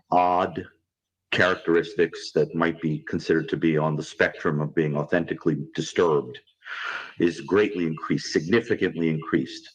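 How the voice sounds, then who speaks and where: A man speaking as a forensic psychologist in a played-back clip, measured and academic. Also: a bass voice, slightly rough, rough recording. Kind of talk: recorded expert commentary.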